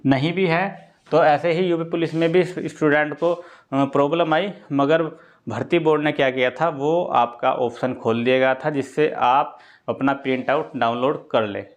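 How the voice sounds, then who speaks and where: A man's voice: a little high, steady tone, moderate pace. A man explains things informally to students as a coaching presenter, repeating key points and often checking in.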